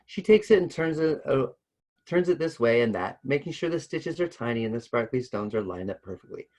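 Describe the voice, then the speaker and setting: A man's voice: medium pitch, expressive, fairly quick, darkish tone. A man reads a story aloud expressively, now and then stumbling over a word and adding casual asides. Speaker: co-host reading a story aloud.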